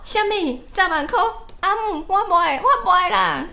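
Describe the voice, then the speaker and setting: A woman's voice: very high voice, expressive, normal speed, bright, forceful tone, voice pushed up, heavily acted. A woman voicing a desperate young woman sold by her madam, in a melodramatic old-fashioned Taiwanese style. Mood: pleading. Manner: wailing, pleading, melodramatic.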